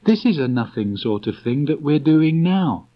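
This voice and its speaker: A man voicing a kindly, earnest young boy in a storybook, speaking gently and simply. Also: on the high side, big pitch swings, normal speed, pitched above the performer's own voice. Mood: gentle, earnest. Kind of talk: storybook character reading. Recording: dull restored cassette tape.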